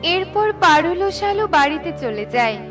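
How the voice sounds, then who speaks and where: A woman's voice: very high-pitched, sing-song, measured pace. A woman reading scripted narration for a children's cartoon story. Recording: music behind the voice. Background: background music.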